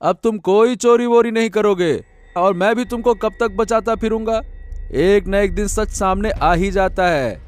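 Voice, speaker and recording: A man voicing an honest village labourer in an animated moral story, admonishing and exclaiming. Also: high voice, unhurried. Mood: scolding. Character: honest, scolding village labourer, weary master of a donkey.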